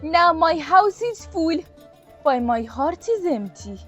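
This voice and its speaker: A woman's voice: very high voice, sing-song, slow, a bit bright, highs cut off, soft background music, voice pushed up, exaggerated voice. A woman voicing a proud, loving cockroach auntie in a folktale, tender and sing-song. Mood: heartbroken.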